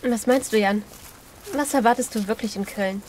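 A woman's voice: on the high side, normal speed, darkish tone, a little hiss or hum, lightly acted. A woman playing a hopeful, inquisitive survivor in a horror audio drama. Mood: questioning.

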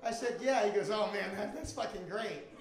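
A man's voice: high voice, moderate pace, very noisy. A middle-aged American man performing a stand-up comedy routine on a club stage into a handheld microphone. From Miami, Florida, United States. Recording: echoey comedy club with audience. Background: live audience.

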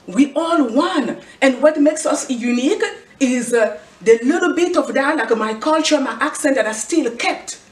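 A woman's voice: high voice, animated, a little slow, few pauses. A middle-aged woman from the Congo with a Congolese accent, giving an earnest, preachy motivational talk to camera and often repeating a rhetorical question. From Congo, Central Africa. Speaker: fashion vlogger and clothing designer. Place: indoor room with clothing rack. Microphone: far camera. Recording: echoey room from far off.

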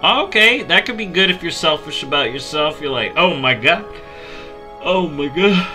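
A man in his thirties talks into a headset mic, bright and forceful in tone. He reads game text aloud and jokes about it with playful mock outrage. Faint music plays underneath. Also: on the high side, lively intonation, normal speed. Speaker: streamer. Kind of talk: reading and reacting to game talents on a live stream. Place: small dark room at home.

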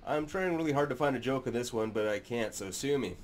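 A man's voice: medium pitch, lively intonation, fairly quick, slight background noise. A man in his thirties hosting a sci-fi channel, seated in a small room and talking to his webcam with sarcastic, crude, mock-serious commentary. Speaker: sci-fi narration channel host. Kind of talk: sardonic commentary on camera.

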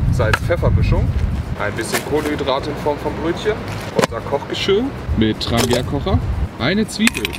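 Young adult male outdoor vlogger, relaxed chatty delivery, camera mic outdoors with clear wind noise. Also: mid-range voice. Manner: relaxed, chatty, many "ja" fillers. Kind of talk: casual cooking vlog narration. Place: outdoors in a windy wood in rain. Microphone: camera mic at arm's length. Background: wind and rain.

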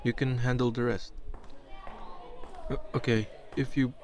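A shy, awkward high school boy, acted by a man in an audio roleplay. He speaks at a middling pitch, hesitant and self-deprecating, stammering nervously. Clear background noise sits behind the voice. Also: flat delivery, unhurried, lightly acted. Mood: apologetic, nervous. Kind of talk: amateur audio roleplay acting.

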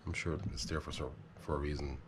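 Very deep-voiced man thinking aloud at a workbench. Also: fast talker, background noise. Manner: relaxed, thinking aloud, self-deprecating. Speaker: reel repair technician. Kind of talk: casual how-to narration while working. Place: workbench, indoors.

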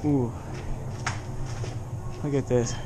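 A young man chatting casually and reacting excitedly as an exploration vlogger, recorded on a handheld camera. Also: mid-range voice, extremely slow. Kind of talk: casual chat while exploring. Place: dark abandoned haunted attraction, indoors and out. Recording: noisy handheld camera audio.

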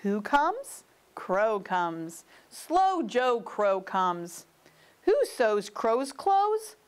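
A woman's voice: mid-range voice, sing-song, extremely slow, clean tone. A woman reading a children's picture book aloud in a read-aloud storytelling style. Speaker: story reader.

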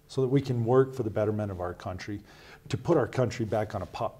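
A man in his fifties from Wyoming speaks on a lapel mic in a small TV studio, his voice low and mellow. He makes his points in an earnest, measured way and leans on emphatic asides. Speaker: Senate candidate. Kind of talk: studio interview answers.

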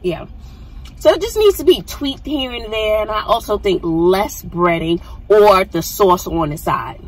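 A woman's voice: medium pitch, animated, measured pace, rough recording. A middle-aged woman hosting a casual food review vlog from inside a parked car, chatting, explaining and asking follow-up questions into a dashboard phone camera. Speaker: vlog host, mother. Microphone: phone camera mounted on the dashboard.

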